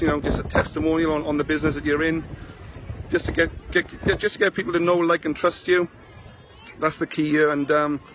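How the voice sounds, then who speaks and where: A man's voice: on the high side, fairly bright tone, a little hiss or hum. A man in his forties with a South Wales valleys accent, chatting casually to viewers on a live video from a sunny beach, talking into a phone held at arm's length. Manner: rambling, chatty, greets viewers by name. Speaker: online marketing vlogger. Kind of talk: casual Facebook live chat.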